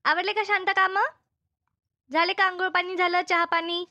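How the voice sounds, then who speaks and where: Woman voicing a very high, piercing, nagging cartoon mother. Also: flat delivery, normal speed, pushed and bright. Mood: inquisitive. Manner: nagging, repetitive, fretful. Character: Shanta's fussing, scolding older village mother. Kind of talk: comedy cartoon dubbing.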